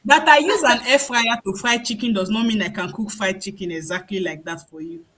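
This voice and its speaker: A panelist with a lively, joking manner in a casual roundtable discussion over a video call. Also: mid-range voice, a little fast. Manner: lively, joking, laughs easily.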